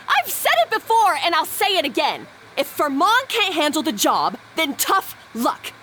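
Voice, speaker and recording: A bossy, curt head chef. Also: female voice, very high-pitched, wildly swooping pitch, measured pace, bright, forceful tone, slight background noise, heavily acted. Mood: scornful. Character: harsh, domineering woman head chef.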